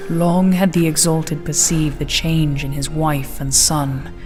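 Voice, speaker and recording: A solemn narrator with a medium-pitched voice tells a scripted fantasy legend in formal storytelling style. Music plays clearly behind the voice. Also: fairly even intonation, unhurried.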